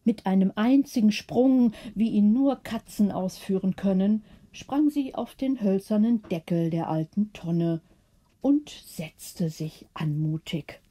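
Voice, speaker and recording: A woman reads a bedtime story in a very dark, mellow voice with a husky grain. She takes her time, delivering scripted narration as an audiobook narrator. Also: mid-range voice, takes it slowly.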